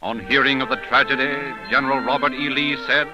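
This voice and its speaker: A man's voice: mid-range voice, steady tone, brisk, dull audio. A man narrating in a dramatic, declamatory 1930s radio style. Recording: old 1930s radio recording, music behind.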